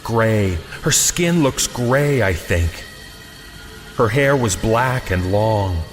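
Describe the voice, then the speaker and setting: A man reading a scripted first-person horror story in a suspenseful, dramatic way with deliberate pauses. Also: fairly low pitch, expressive. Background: music.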